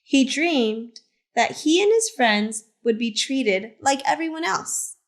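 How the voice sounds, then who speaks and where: A woman reading a picture book aloud to children as a teacher librarian, in simple, deliberate phrases with short asides. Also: sits high, animated. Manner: simple, deliberate phrasing addressed to young listeners.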